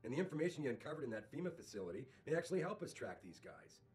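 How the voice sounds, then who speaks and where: Man acting an animated, evasive company boss. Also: mid-range voice, rapid-fire, faint music behind, natural voice. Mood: defensive, smooth. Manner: deflecting, persuasive. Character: evasive, breezy male corporate boss. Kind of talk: video game cutscene acting.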